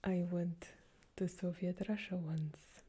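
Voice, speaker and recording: A woman softly whispering a string of jokes as a calm ASMR reading. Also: lowish voice, takes it slowly, slightly rough. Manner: soft whisper throughout. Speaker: joke reader.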